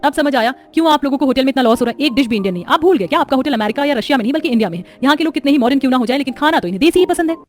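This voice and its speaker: A woman playing a sharp-tongued, confident young daughter-in-law in a story, teasing and argumentative. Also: high voice, expressive, rapid-fire, hardly any pauses. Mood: critical. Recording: music behind the voice.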